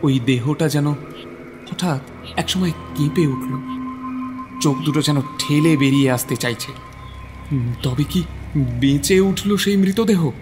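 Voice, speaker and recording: A man's voice: on the high side, takes it slowly, long pauses, over music. A man narrating a horror story in a theatrical, suspenseful style.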